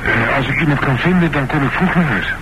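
A man's voice: medium pitch, brisk, heavy background noise, lightly acted. An actor playing a tense, scheming businessman husband in a radio drama. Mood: feigning illness, tense.

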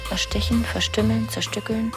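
An actress in a German radio drama playing a distraught, obsessive wife, speaking in an intense, brooding, insistent monologue. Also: female voice, a little high, fairly even intonation, normal speed, over music, close to an everyday voice. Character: distraught wife obsessed with her husband, a killer.

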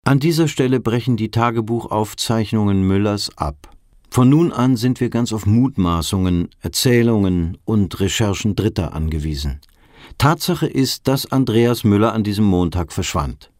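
Actor as a low, sober male documentary narrator. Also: expressive, a little mellow, no echo, clean studio sound, natural voice. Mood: factual. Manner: measured, factual. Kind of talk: scripted mock-documentary narration.

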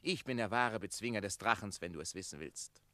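An actor playing a smug, manipulative schemer in a German radio play, taunting and wheedling. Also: male voice, lowish voice, a little fast, a bit bright, dry recording, lightly acted.

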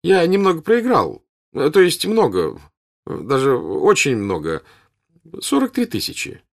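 A man's voice: fairly high pitch, sing-song, a little fast, voice pushed up. A man voicing an embarrassed young nobleman in an audiobook, strained and falsely casual. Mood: sheepish.

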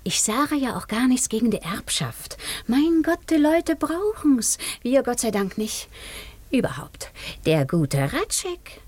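An actress playing a catty, self-satisfied village gossip in a radio play, with a snide, knowing manner. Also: female voice, on the high side, big pitch swings, unhurried, a little mellow, no room sound. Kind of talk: radio drama dialogue.

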